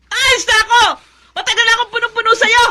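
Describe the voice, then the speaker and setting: A woman's voice: extremely high pitch, level tone, fast talker, sharp tone, raised voice, exaggerated voice. A woman with a Filipino accent playing a brash, irritable, defiant wife in a radio drama, whiny and snappy. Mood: defiant, angry.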